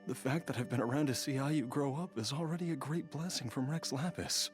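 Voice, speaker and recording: A man playing a dying, devoted husband and father in a video game, resigned and grateful, speaking earnestly with halting sighs. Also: mid-range voice, natural voice. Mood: grave, resolute. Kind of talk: dramatic video game voice acting. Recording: music playing behind the voice.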